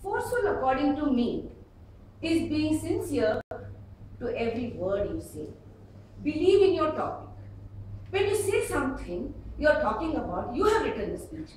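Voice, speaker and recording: A high-voiced woman with an Indian accent teaches a club audience about public speaking. Her delivery is emphatic and swings widely in pitch. She is recorded from a distance in a very echoey room. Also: moderate pace, slight background noise. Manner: expressive, emphatic, with acted-out examples. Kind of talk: instructional speech to a live audience. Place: indoor meeting room before an audience.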